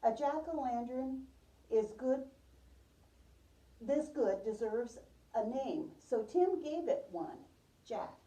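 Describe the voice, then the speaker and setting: A woman reads a picture book to small children in the manner of a preschool teacher, checking in with questions. Her voice is very soft-edged and dark, fairly high, and unhurried. It is picked up from a distance in a roomy space. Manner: addresses listeners as young children, repeats their answers. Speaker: preschool teacher reading aloud. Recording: roomy, distant microphone, muffled top end.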